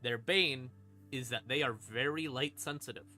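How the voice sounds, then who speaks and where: A man's voice: medium pitch, normal speed, pushed and bright. A man streaming a casual explanation of game lore, informal, with dry asides and dramatic emphasis. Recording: music behind the voice. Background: creepy ambient music.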